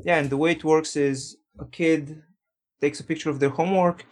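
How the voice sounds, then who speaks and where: A man from Slovenia, a programmer, answering interview questions in a relaxed way, thinking aloud and correcting himself. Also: on the high side, moderate pace, background noise. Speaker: programmer and guest.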